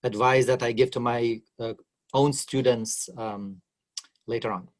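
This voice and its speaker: A man speaking as an educator on a panel over a video call, halting and searching for words. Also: mid-range voice, fairly even intonation, a little mellow. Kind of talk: casual panel talk.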